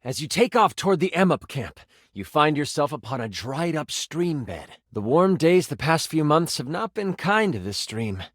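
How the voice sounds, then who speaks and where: A man's voice: on the high side, big pitch swings, normal speed, fairly bright tone, dry recording, clean studio sound. A man playing a playful game master, narrating a fantasy tabletop adventure in a theatrical, dramatic storytelling style. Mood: suspenseful. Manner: theatrical, second-person storytelling.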